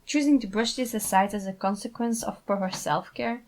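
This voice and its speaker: A woman's voice: medium pitch, moderate pace, a little mellow. A woman reading fan fiction aloud as scripted story narration. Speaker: fan fiction author and reader.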